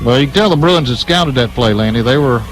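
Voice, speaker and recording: A male radio announcer delivers live football play-by-play at a middling pitch. He follows the action as it unfolds, in the manner of a game broadcast. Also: animated, fast talker, slight background noise, low-quality recording.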